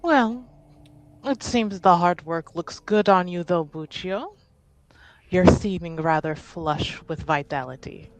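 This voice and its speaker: A polite young noblewoman, played by a woman in a fantasy tabletop game. She speaks very slowly in a slightly low voice. Her phrasing is measured, formal and careful. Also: slight background noise, rough recording. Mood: gracious, teasing. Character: courteous, measured young noblewoman of a ducal house.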